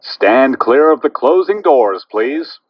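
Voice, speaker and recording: A man's voice: medium pitch, lively intonation, slow, husky, muffled recording. A man giving formal, instructing pre-recorded announcements on a subway train.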